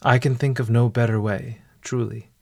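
A man narrating a guided meditation in a formal, measured, literary manner. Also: medium pitch, fairly even intonation, gravelly, no echo, clean studio sound.

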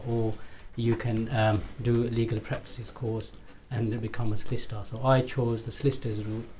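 A man from Pakistan, a solicitor and radio panel guest, answering questions in a measured, hesitant way with many uhs. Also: lowish voice, mellow, slight background noise. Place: radio studio.

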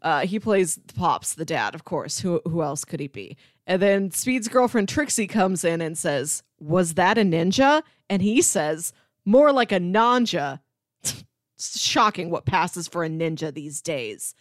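A woman's voice: on the low side, animated, measured pace, no echo, clean studio sound. A woman hosting a podcast, talking casually and enthusiastically about film with emphatic delivery. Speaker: podcast host.

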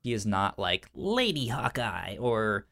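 A man co-hosting a casual pop-culture news chat, stuttering on sounds and syllables, with halting, informal phrasing. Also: lowish voice, very animated, gravelly, pushed and bright. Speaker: podcast co-host.

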